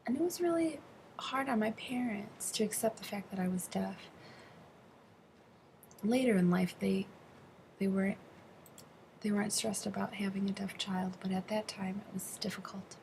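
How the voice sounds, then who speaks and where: A woman working as an interpreter, voicing an interview answer in the first person, phrase by phrase with halting delivery. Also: mid-range voice, vocal fry, darkish tone, slight background noise. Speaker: sign language interpreter voicing a deaf interviewee.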